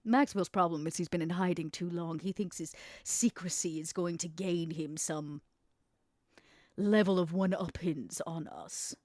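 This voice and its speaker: Poised, scheming vampire woman played by a woman, low-pitched. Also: steady tone, darkish tone, dry recording, studio quality, lightly acted. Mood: contemptuous, vengeful. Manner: deliberate, dramatic pauses, stressed key words. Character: scheming, imperious female vampire crime partner, poised and ruthless. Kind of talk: in-character tabletop roleplay acting.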